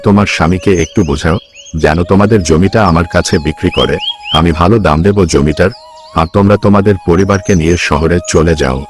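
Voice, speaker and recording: A man's voice: sits low, natural voice. A man voicing a sly middle-aged village headman in a cartoon, coaxing with false friendliness. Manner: persuasive, wheedling, falsely friendly. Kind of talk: Bengali cartoon story voice acting.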